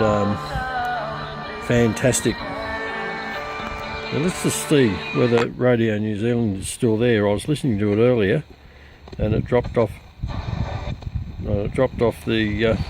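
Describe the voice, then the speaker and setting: A man giving a halting, matter-of-fact, unscripted demonstration of gear as a hobbyist. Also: mid-range voice, creaky. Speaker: radio hobbyist presenter.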